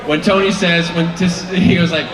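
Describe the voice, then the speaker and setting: A man from Brooklyn, a panel guest, answering in a halting, friendly way. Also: a little high, fairly even intonation. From Brooklyn, New York. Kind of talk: convention panel Q&A. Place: large convention hall. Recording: echoey hall, distant mic, crowd.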